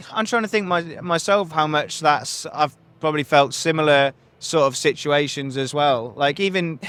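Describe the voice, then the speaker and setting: A man's voice: on the high side, a bit bright, clear, resonant tone. A man hosting a podcast, asking long, rambling questions full of restarts and fillers. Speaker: podcast host. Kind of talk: conversational interview.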